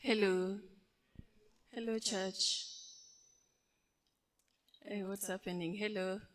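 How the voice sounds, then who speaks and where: Deaf woman giving a slow testimony. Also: medium pitch, fairly even intonation. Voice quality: deaf speaker. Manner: engaging, asks the audience questions. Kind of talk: testimony to a congregation. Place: church.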